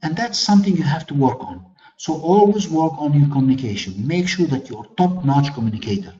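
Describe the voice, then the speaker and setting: A man's voice: a little high, expressive, a little fast, mellow, highs cut off. A man whose first language is Arabic, giving a webinar lecture on leadership as a corporate trainer, earnest and fond of rhetorical questions and repeated phrases.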